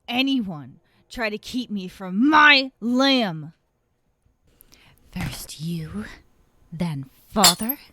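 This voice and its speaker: A woman voicing an obsessive, sadistic young yandere captor in a soft, breathy ASMR roleplay, half-whispering with sweet menace. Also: medium pitch, heavily acted. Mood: angry, threatening. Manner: slow, breathy half-whispers broken by giggles. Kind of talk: ASMR roleplay, intimate dramatic monologue.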